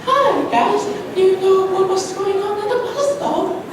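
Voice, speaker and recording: Woman as eager schoolgirl, extremely high voice, upbeat, amateur stage reading, very echoey distant recording. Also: unhurried. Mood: concerned. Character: Grace, eager schoolgirl organising friends, upbeat. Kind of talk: amateur reader's theatre reading. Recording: very echoey room, distant mic, faint noise.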